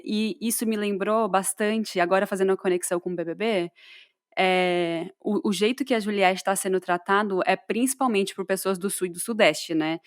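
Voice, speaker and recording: A woman's voice: mid-range voice, no room sound, studio quality. A woman with a São Paulo accent, hosting a podcast in a casual, chatty way, thinking aloud with plenty of fillers. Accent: São Paulo Portuguese. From São Paulo, Brazil. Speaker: podcast host.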